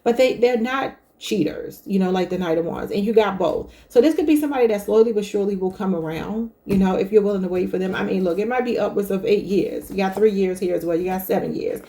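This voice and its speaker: A woman's voice: medium pitch, fast talker, hardly any pauses, warm tone. A woman giving a casual tarot reading to her viewers, chatty and thinking aloud, calling them y'all. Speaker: tarot reader.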